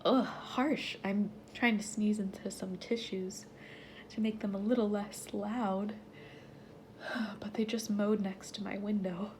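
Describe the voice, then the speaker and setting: A woman with a stuffy nose, talking casually to her viewers, breathy and often half-whispered between sighs. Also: mid-range voice, steady tone, takes it slowly, a little mellow, a little hiss or hum. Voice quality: stuffy nasal voice. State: stuffy nose, sniffling and sneezing. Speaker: vlogger. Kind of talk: casual talk to camera.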